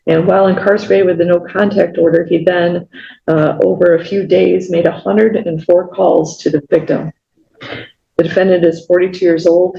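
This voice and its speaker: A man's voice: sits high, vocal fry, warm tone. A man speaking as a prosecutor, giving a formal, matter-of-fact summary in court. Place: echoey indoor courtroom. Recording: muffled, echoey, noisy room.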